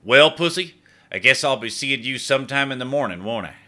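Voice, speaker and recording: A worn-out, easygoing athlete speaks in a folksy drawl, as a man puts him on. The voice sits above the narrator's own and moves lively, with a bright edge. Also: medium pitch, a little fast, pitched above the performer's own voice. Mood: weary. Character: tired, laid-back male athlete, folksy.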